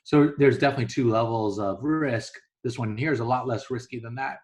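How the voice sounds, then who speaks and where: A man's voice: medium pitch, brisk. Man explaining conversationally as interview guest. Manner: conversational, illustrates points with hypothetical quoted thoughts. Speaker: interview guest, real estate investor. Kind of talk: casual explanatory interview talk.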